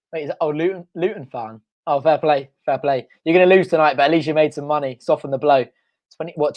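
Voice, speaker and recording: Young man, slightly high voice, excitable fan commentary, hoarse from shouting. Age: young adult. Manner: excitable, shouting and chanting at goals. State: losing his voice. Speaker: fan channel host. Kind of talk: live football watch-along commentary. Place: home front room.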